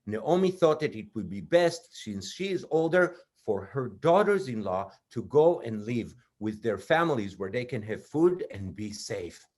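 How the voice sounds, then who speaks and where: A man narrating a children's puppet show as a storyteller, in simple, deliberate phrases. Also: medium pitch, very animated, darkish tone.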